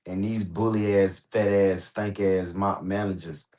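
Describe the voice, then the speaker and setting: An American man talking casually on a livestream, animated and slangy, swearing often and repeating himself for emphasis. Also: low-pitched, unhurried. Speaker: host reacting on a livestream. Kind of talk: casual rambling livestream talk.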